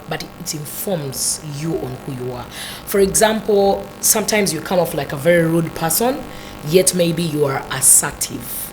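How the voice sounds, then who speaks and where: A Ugandan woman with a deep voice, a talk show guest explaining her ideas thoughtfully with many "you know" asides. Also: background noise.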